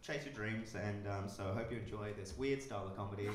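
A man in his thirties with an Australian accent doing an absurd stand-up set on a club stage, with awkward deadpan delivery. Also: on the low side, fast, a little hiss or hum. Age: 30s. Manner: awkward, deadpan, bursts into silly songs. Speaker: stand-up comedian. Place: comedy club stage with audience. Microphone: stage mic on stand. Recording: roomy club sound.

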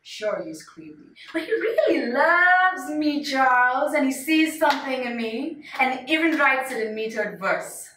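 A woman's voice: high voice, very animated, unhurried, hardly any pauses, sharp tone, full character voice. A woman playing a desperate, quirky wife refusing a divorce in a stage monologue, dramatic and emphatic, swinging between joking and pleading. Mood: hurt, pleading. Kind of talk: solo stage monologue performance. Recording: roomy, distant mic, faint noise.

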